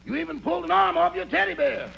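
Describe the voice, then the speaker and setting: A man acting a wry, familiar manservant and driver with a gravelly voice and dry comebacks in 1940s radio comedy. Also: extremely high pitch, fast talker, heavily acted. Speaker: comic sidekick on a radio show. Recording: dull, very noisy vintage radio recording.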